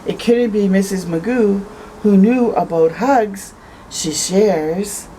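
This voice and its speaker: Female storytime reader: unhurried, mellow, expressive children's read-aloud with chatty asides, some background noise. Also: medium pitch, poor audio. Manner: conversational asides, tags questions with "eh". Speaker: storytime host reading aloud.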